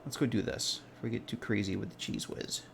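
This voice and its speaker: A man's voice: fairly low pitch, fast talker, creaky, slight background noise. A tired, congested man streaming live, casually thinking aloud as he works and correcting himself mid-sentence. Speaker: modding streamer. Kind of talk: casual live-stream commentary while working.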